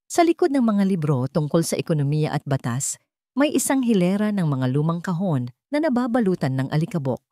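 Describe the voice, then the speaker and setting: A woman storyteller reading scripted dramatic narration in a deliberate, suspenseful manner. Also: on the low side, sing-song, mellow, no echo, clean studio sound.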